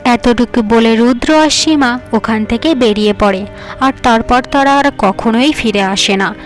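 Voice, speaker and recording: Female storyteller for fairy-tale cartoon narration, higher-pitched, faint music bed. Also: measured pace.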